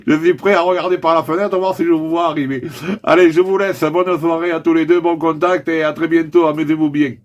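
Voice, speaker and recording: A man's voice: fairly high pitch, steady tone, fairly quick, lo-fi sound, a little hiss or hum. A man chatting in a jovial, informal way as an amateur radio operator. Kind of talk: casual radio chat.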